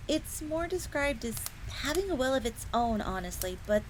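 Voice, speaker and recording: A woman's voice: sits high, big pitch swings, normal speed. A woman voicing a young, earnest, secretive fox maid in a scripted fantasy audio roleplay, confiding in the listener. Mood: explaining, curious. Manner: speaks of herself in third person, confiding. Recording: crackling fire noise behind voice. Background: crackling fire.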